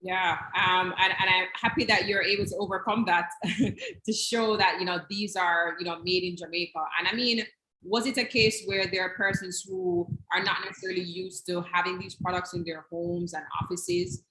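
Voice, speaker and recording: Meetup host asking long questions, crisp bright tone, roomy faint-noise recording. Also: mid-range voice, steady tone, moderate pace. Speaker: interviewer. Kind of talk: online meetup interview.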